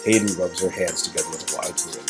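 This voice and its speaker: A man's voice: low voice, fairly even intonation, fast. A man narrating an interactive story game aloud. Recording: music clearly behind the voice.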